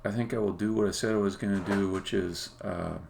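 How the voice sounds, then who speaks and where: Low, gravelly man thinking aloud. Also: fairly even intonation, a little fast. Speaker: game developer, live streamer. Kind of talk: casual live-coding commentary, explaining on a stream. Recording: clear background noise.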